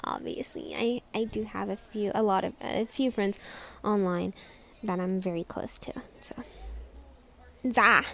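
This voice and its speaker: A young woman with a squeaky voice rambling in a casual vlog, hesitant and self-conscious, full of restarts and trailing words. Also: steady tone, measured pace, vocal fry, a bit bright, slight background noise. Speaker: vlogger.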